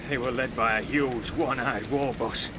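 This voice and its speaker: An actor playing a grim dwarf survivor in a fantasy video game, halting and dramatic in delivery. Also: male voice, medium pitch, fairly even intonation, natural voice. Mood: grim, pained. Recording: loud rain-like background noise.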